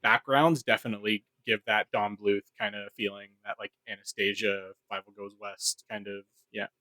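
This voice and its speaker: A man's voice: fairly low pitch, level tone, normal speed, pushed and bright, no room sound, low-quality recording. A man co-hosting a podcast in casual panel chat, talking informally and swearing freely. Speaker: podcast co-host.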